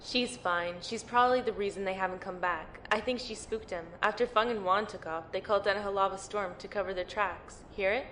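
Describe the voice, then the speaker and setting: A woman's voice: medium pitch, a little fast, few pauses, background noise, natural voice. A woman playing a tough, no-nonsense lieutenant in an amateur audio drama, blunt and matter-of-fact. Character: tough, no-nonsense female lieutenant in powered armor. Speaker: voice actor playing a military lieutenant.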